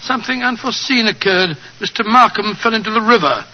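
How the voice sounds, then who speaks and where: An actor playing an eager, kindly, bumbling English doctor in 1940s radio drama style, fussy and hesitant. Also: male voice, high-pitched, animated, a little fast. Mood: polite, earnest. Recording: dull old 1940s radio recording.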